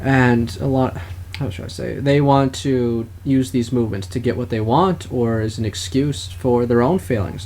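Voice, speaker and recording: A man speaking as a podcast host in an opinionated political discussion, with halting, wordy, formal phrasing. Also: mid-range voice, darkish tone. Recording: clearly noisy background.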